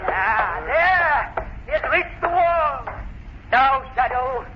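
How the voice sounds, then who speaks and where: Vengeful mad professor for a vintage radio thriller: very high, menacing, theatrical, on a noisy dull recording. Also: male voice, lively intonation, slow pace, exaggerated voice. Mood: gloating. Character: crazed, gloating crippled professor villain, bent on revenge. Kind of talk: old-time radio thriller acting. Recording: noisy muffled old radio broadcast.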